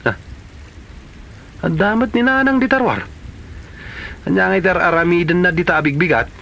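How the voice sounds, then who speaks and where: Man acting animated grumbling husband, village comedy. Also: sits high, normal speed, gravelly, noisy background. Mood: puzzled, grumbling. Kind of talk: Ilocano comedy drama acting.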